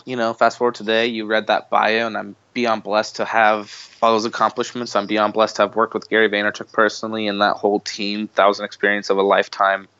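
Podcast guest: man, lowish voice, informal, repeats himself for emphasis, slightly muffled recording. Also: bright, forceful tone, smooth, no echo. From Bosnia, later the United States. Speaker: podcast guest, marketing entrepreneur. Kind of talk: casual conversational interview.

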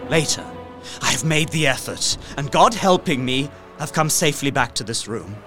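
An actor playing a desperate, haunted young Victorian gentleman trapped in a castle, narrating his journal with intense, deliberate, formal delivery in a gothic audio drama. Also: medium pitch, lively intonation, close to an everyday voice. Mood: tense, breathless recounting. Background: music.

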